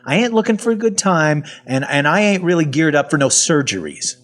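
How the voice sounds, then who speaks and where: A man's voice: fairly low pitch, big pitch swings, moderate pace, slightly rough. A man playing a sardonic, folksy half-zombie survivor telling his story in an audio drama. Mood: nervous protest. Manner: folksy, colloquial, wry. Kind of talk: first-person audio fiction narration with acted dialogue.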